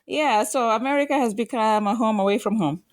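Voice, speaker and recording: A woman in her forties from Kenya, a guest on a talk show, speaking reflectively with pauses and restarts. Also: on the high side, no echo. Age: 40s. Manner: reflective, halting with fillers and restarts. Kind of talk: casual interview talk.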